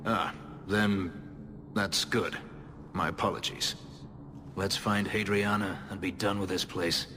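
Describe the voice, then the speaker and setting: An actor playing a bitter escaped elf slave in a video game, terse and grave with simmering anger. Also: male voice, low voice, fairly even intonation, takes it slowly, pauses often. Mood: dry. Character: brooding, bitter escaped elf slave warrior, grimly vengeful. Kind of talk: dramatic video game voice acting. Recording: reverberant hall sound with background noise.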